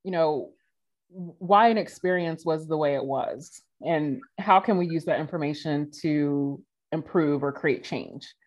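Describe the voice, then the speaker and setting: A woman in her forties, a podcast guest answering questions in a lively, conversational way with frequent laughs. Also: deep voice, measured pace. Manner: animated, laughs while telling stories.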